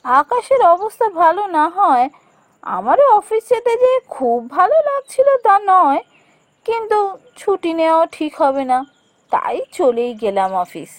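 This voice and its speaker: A woman voicing an anxious young female office worker who tells her ghost story in suspenseful, drawn-out phrases. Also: very high voice, very animated, no echo, full character voice. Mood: uneasy. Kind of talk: dramatic first-person horror narration for a cartoon. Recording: music under the voice.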